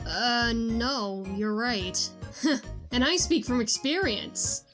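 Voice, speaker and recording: A high, bright and forceful scrappy princess, voiced by a woman for a cartoon comic dub. She is blunt and boisterous, with exaggerated swoops in pitch. Music sits under the voice. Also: lively intonation, slow pace. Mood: cocky. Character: loud, brash, scrappy tomboy princess.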